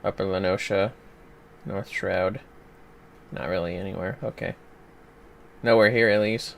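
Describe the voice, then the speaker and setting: A man chatting casually while gaming on a livestream, playful, exclamatory and quick to swear. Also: low voice, steady tone, gravelly, a little hiss or hum. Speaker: gamer on a livestream. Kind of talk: casual gameplay chat.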